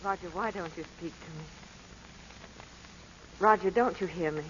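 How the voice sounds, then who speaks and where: A woman playing a gentle, anxious wife and mother in a 1940s radio drama. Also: on the low side, moderate pace, a little hiss or hum, natural voice. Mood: subdued, sad. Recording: dull vintage radio recording with music.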